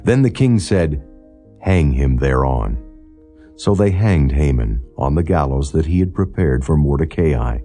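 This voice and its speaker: A man reading scripture as a solemn, formal audiobook narrator, in a measured and non-dramatized style. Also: very deep voice, animated, mellow. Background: background music.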